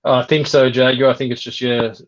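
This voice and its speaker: Man, medium-pitched voice, casual unscripted chat, hesitant, matter-of-fact, slightly dull lo-fi recording. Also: level tone, a little fast. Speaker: reptile breeder.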